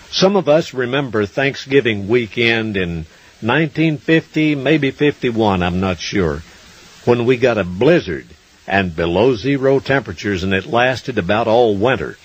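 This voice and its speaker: A man's voice: fairly low pitch, slightly rough, dull audio. An older man with a Southern US accent, announcing a country music radio show in a homespun, neighborly manner. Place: on stage in a large wooden barn theater. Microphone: stand microphone close to the mouth.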